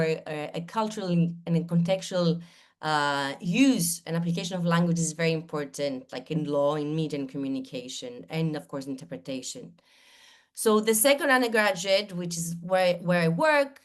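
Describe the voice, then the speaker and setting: A middle-aged woman from Greece, a professor presenting her school's programs in short listed phrases with many fillers. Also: fairly low pitch. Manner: frequent fillers and restarts, listing in short phrases.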